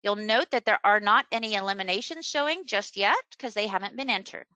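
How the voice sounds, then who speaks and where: A woman presenting a webinar as a software trainer, explaining a screen demonstration step by step in an unscripted way. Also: medium pitch, a little fast, no echo. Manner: instructional, step-by-step.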